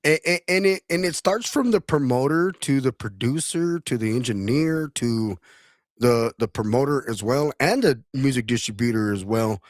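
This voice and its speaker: A man hosting a radio talk show, stumbling and repeating words as he rambles on. His voice sits in a middle pitch and sounds close and dry, with no room around it. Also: animated, moderate pace, no echo.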